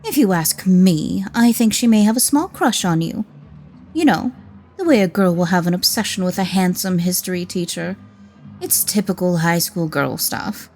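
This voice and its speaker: A woman's voice: fairly low pitch, moderate pace, darkish tone, soft background music, natural voice. A woman playing a teasing, protective middle-aged wife and mother in a radio drama, chiding and playful. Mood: playful, gossipy.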